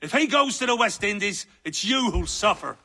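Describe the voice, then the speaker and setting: Sharp, creaky, scornful father. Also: high-pitched, expressive, moderate pace, full character voice. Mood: contemptuous. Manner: contemptuous, haughty. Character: scornful, disapproving older father looking down on rogues. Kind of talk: video game cutscene acting.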